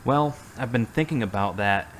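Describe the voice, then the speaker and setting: A middle-aged actor playing an earnest, devout man recovering from surgery in a radio drama, speaking in a measured way. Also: medium pitch, slight background noise, natural voice.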